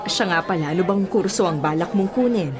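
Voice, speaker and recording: A woman's voice: lowish voice, animated, fairly quick, a crowd in the background, natural voice. A woman acting as a hardworking, self-sacrificing middle-aged widowed mother in a Filipino radio drama, earnest and long-suffering. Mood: proud, tender.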